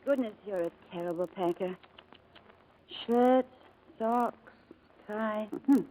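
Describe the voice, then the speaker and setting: An actress playing an affectionate young wife and new mother in 1940s radio style, tender and gently teasing. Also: mid-range voice, slow pace, slight background noise, natural voice, low-quality recording. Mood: eager.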